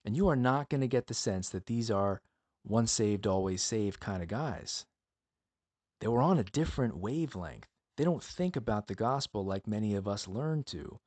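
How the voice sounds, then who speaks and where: A man's voice: on the low side, lively intonation, moderate pace, darkish tone. Man giving emphatic Bible teaching. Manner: emphatic, with rhetorical questions and repeated appeals. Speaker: podcast host teaching the Bible. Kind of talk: earnest Bible teaching monologue for a podcast.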